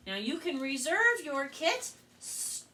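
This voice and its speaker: An American woman working as a children's librarian, telling stories and presenting to kids in an expressive, carefully spoken way. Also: sits high, takes it slowly. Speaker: children's librarian and storytime host. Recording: distant mic with faint background noise.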